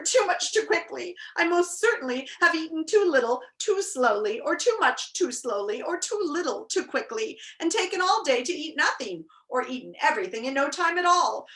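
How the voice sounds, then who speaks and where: A woman's voice: sits high, huge pitch swings, moderate pace, a bit bright, voice pushed up, full character voice. A woman voicing a pompous, fickle male bug from a storybook, wordy, blustery and given to emphatic repetition. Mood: distressed, gasping. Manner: wordy, repetitive, emphatic, echoing what others say.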